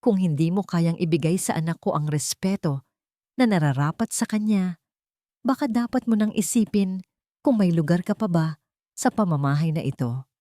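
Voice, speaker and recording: A woman's voice: on the low side, expressive, warm tone, no room sound, clean studio sound, natural voice. Woman playing a cold, imperious old matriarch. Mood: cold contempt. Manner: slow, emphatic, authoritative. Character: cold, haughty, commanding elderly rich mother-in-law.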